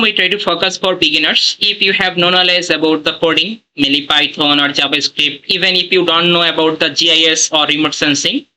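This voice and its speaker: A man instructor with a fairly high, very bright voice runs a live online class over a video call. He speaks in simple non-native English and keeps filling pauses with "okay" and "uh." The sound is a typical call-style recording. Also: poor audio. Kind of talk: live online training session.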